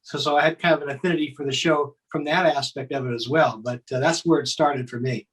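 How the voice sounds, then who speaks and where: Higher-voiced man, distant from the mic. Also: fairly even intonation, fast talker, fairly bright tone. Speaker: interview guest, fan of an old show. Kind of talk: casual chat. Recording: distant microphone.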